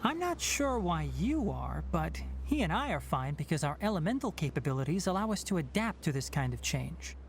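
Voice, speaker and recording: A man's voice: fairly high pitch, sing-song, normal speed, hardly any pauses, background noise. An actor voicing a young, fox-eared male forest ranger in a video game, who talks in a precise, explanatory way. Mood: explaining. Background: game music.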